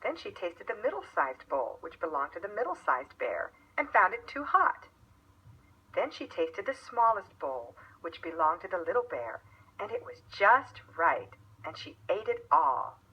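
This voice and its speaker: Expressive woman storyteller, lively pitch. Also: slightly rough, highs cut off. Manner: expressive, measured children's storytelling. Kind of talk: scripted fairy tale narration.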